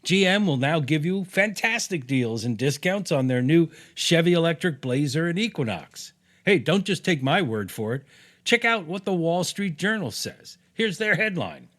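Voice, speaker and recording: A man's voice: fairly high pitch, lively intonation, normal speed, a bit bright. An American man reading his own opinion piece aloud in a punchy, sarcastic, salty-tongued way, as a podcast host.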